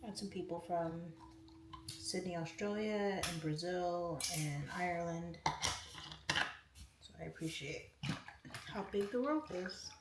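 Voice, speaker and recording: A woman's voice: on the low side, a little slow, pauses often. A woman hosting a casual livestream, reading out viewer comments and answering each one. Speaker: livestream host. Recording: echoey room, faint noise.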